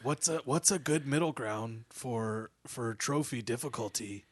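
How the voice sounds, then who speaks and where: A man's voice: medium pitch, measured pace. A man co-hosting a gaming podcast, hesitant and full of fillers, laughing easily. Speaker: podcast co-host. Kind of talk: casual gaming chat.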